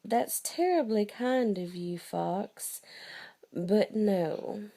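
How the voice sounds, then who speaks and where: A clever little brown mouse from a storybook, read by a woman, polite and matter-of-fact. The words come slowly with a soft creak at the edges. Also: mid-range voice, full character voice. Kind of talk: bedtime storytelling.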